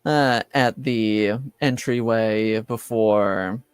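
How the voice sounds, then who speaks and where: A man's voice: lowish voice, very slow, clear, resonant tone, no room sound. A man playing a polite, flustered senior enchanter in a tabletop roleplay, speaking formally and hesitantly.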